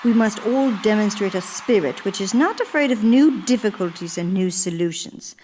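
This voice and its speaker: A woman playing an earnest, idealistic older First Lady in live radio theater, speaking in a measured, oratorical manner. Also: lowish voice, darkish tone, close to an everyday voice. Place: live stage before a studio audience in a church.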